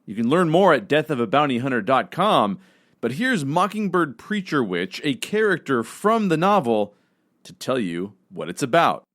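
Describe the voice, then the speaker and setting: A man's voice: mid-range voice, very animated, moderate pace, a bit bright. A man speaking as a podcast co-host, reading a scripted promo in a conversational way to listeners.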